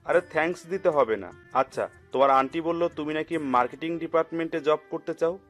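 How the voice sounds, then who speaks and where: Kindly middle-aged uncle played by a man, slightly high voice, hearty and insistent, audio drama with music behind. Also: brisk, a bit bright, close to an everyday voice. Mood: reassuring. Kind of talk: audio story acting. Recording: music under the voice.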